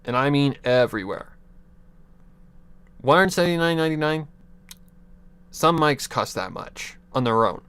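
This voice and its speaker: A man's voice: fairly high pitch, lively intonation, normal speed, pauses often, a bit bright, smooth, no room sound. A young man casually chatting on a livestream, giving rambling advice with restarts, chuckles and offhand swearing into a USB desk mic. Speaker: streamer. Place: home computer desk.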